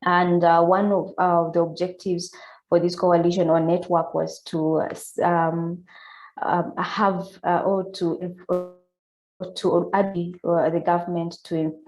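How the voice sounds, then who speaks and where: A hoarse woman with a cold, speaking as a panelist in a hesitant way, with many fillers and restarts. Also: lowish voice, little pitch movement, measured pace, a little mellow. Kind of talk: panel talk on a video call. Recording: echoey room.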